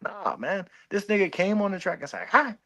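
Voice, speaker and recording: A man's voice: sits high, normal speed, creaky, sharp tone. A man co-hosting a casual podcast, giving blunt, profane album reviews and mimicking voices. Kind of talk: casual music review chat.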